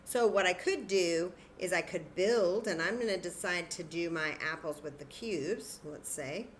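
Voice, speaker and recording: Slow patient lady doing a kids lesson, encouraging, talks thru each step, bit of background noise. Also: lowish voice. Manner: patient, encouraging, thinking aloud while counting. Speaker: children's story time teacher. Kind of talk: interactive demonstration for young children.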